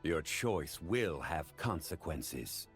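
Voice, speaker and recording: Game role: solemn guardian ninja, very deep and unhurried, formal, calm authority. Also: male voice, faint music behind, full character voice. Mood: calm, grave. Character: calm, honorable male ninja guardian of balance. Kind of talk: scripted game character voice lines.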